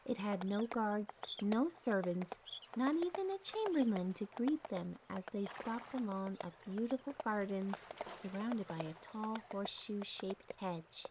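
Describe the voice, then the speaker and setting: A woman's voice: mid-range voice, animated, unhurried. Woman narrator with noisy background. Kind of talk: scripted storybook narration.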